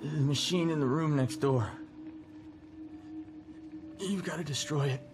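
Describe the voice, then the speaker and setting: Male actor as dying video game character, scared, stammering, weak, slow, music underneath. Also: fairly high pitch, close to an everyday voice. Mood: urgent pleading. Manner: halting, weak, pleading, stammering. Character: frightened dying man freed from villain's control. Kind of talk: dramatic video game acting. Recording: music behind the voice.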